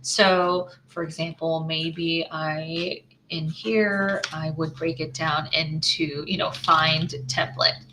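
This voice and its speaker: A woman's voice: sits low, flat delivery, slow, noisy background. A woman running her own small business, walking through her slides in a casual screen-share, thinking aloud with lots of "right?" tags and restarts.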